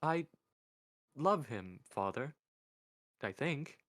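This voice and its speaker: A man voicing Isabel, an anxious, hurried young woman who is Death's daughter. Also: mid-range voice, lively intonation, fairly bright tone, no echo, clean studio sound, pitched above the performer's own voice, exaggerated voice.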